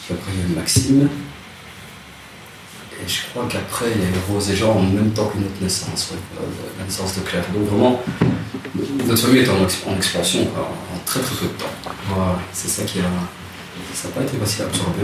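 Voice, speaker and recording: A man, telling his life story in a relaxed interview, hesitant and soft-spoken with many restarts. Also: low-pitched, fairly quick. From Rwanda. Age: 30s. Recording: echoey room, distant mic, noisy.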